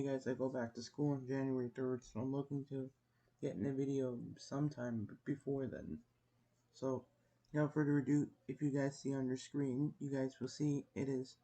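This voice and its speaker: A young man giving casual election prediction commentary as a video host, in a subdued, hushed voice with a halting delivery. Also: medium pitch, poor audio. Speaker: YouTube host. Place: at home. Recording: noticeable background noise, home recording.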